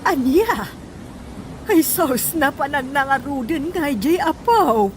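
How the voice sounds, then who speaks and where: Radio drama grandmother played by a woman: older, fretful, chiding, Ilocano accent, high pitch, faint noise. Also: lively intonation, slow pace, rough recording. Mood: alarmed, fussing.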